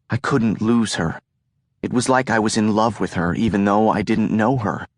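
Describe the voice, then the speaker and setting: A man narrating an audiobook in the first person, telling the story in an earnest, measured way. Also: lowish voice, dry recording, clean studio sound.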